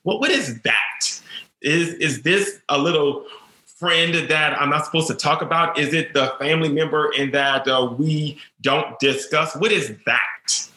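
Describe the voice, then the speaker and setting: Earnest stuttering man, bright voice. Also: on the high side, a little slow, roomy sound, rough recording. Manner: stutters, repeats words, earnest. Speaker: teacher. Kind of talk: personal storytelling interview.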